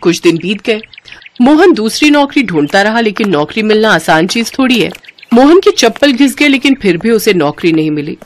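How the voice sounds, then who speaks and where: Female storyteller with a mid-pitched voice. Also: sing-song, moderate pace. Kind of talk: scripted narration of a moral story.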